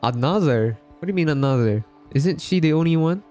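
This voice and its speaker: A man's voice: a little high, animated, brisk, mellow, soft background music. A man from the Philippines chatting casually as he streams a game live. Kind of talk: casual gaming livestream chat.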